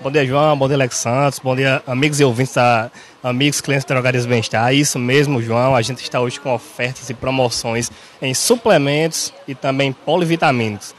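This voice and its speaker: A young man with a Northeast Brazilian accent giving an on-air sales pitch from a pharmacy into a handheld mic, steady and salesy, often tagging lines with a quick check. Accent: Northeast Brazilian Portuguese. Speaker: pharmacy staff member. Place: large bright pharmacy, indoors. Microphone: handheld station mic held by interviewer.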